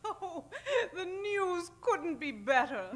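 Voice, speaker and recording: A woman's voice: very high-pitched, sing-song, takes it slowly, heavily acted. A woman playing a naive, gushing expectant mother in a parody old-time radio commercial, sugary and delighted.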